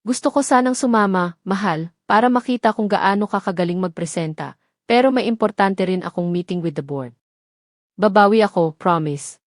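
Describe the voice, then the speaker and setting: A woman's voice: mid-range voice, moderate pace, no echo, lightly acted. Woman playing a young man in love, Filipino accent, tender, earnest, audio drama romance, dry sound. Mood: affectionate. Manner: earnest, affectionate.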